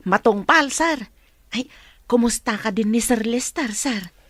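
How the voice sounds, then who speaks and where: A woman's voice: on the high side, lively intonation, a little slow, a bit bright, dry recording. An actress playing a curious, deferential female household helper in a radio drama.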